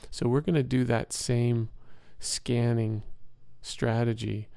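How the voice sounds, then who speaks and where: A man leading a guided meditation as an instructor, speaking in a soothing, measured and gentle way with pauses. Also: mid-range voice, level tone, vocal fry, smooth, dry recording.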